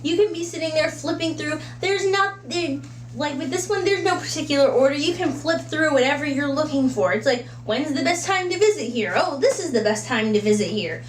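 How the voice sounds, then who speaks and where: A woman's voice: sits high, expressive, fairly quick. A woman giving casual, chatty book recommendations in a conversational way, slipping into little acted-out asides. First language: English. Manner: conversational, acts out little imagined quotes and asides. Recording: roomy echo with faint background hum.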